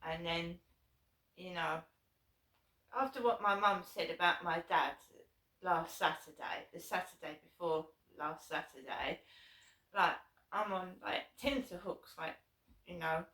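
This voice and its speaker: Hoarse woman, slow rambling talk. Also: fairly low pitch, bright, forceful tone. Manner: rambling, repeats and restarts, frank with swearing. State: hoarse, sore throat. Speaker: vlogger. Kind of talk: casual personal vlog storytelling. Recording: echoey room, voice from a distance.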